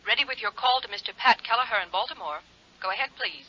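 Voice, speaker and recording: An actress playing a brisk, impersonal long-distance operator through a telephone filter in a 1950s radio drama. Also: female voice, fairly high pitch, animated, pushed and bright. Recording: tinny, faintly noisy old telephone line.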